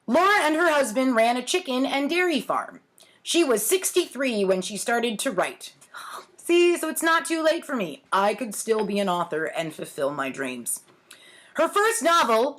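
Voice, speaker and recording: A woman around forty reading aloud at home with wry, theatrical delivery and casual self-mocking asides. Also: a little high. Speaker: host reading her own childhood writing. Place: home kitchen, indoors. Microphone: webcam or laptop at arm's length.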